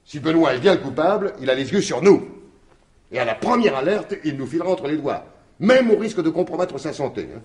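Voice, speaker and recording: Wily police commissioner, swooping intonation. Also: male voice, a little high, lively intonation, fairly quick, darkish tone, slightly rough. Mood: calculating. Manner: hesitant, evasive, courteous. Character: wily, placating male police commissioner, coolly scheming. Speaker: actor in a radio mystery drama. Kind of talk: French radio drama acting in 1950s manner.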